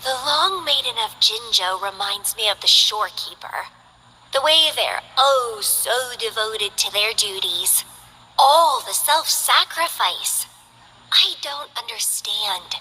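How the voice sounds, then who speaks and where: Bored, teasing young mercenary for a game; husky, very bright, slow drawl, light long reverb. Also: female voice, fairly high pitch, animated, heavily acted. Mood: languid. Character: languid, bored young woman mercenary who loves plants. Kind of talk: game character voice lines.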